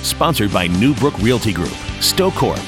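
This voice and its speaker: Male sponsor announcer, scripted radio read, animated pitch, music underneath. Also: normal speed.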